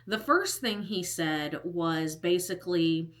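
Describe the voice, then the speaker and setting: Chatty woman in her thirties, slightly low. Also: takes it slowly, fairly bright tone. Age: 30s. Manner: chatty and rambling, heavy use of "like", with whispered asides. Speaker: storytime vlogger. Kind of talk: casual storytime chat.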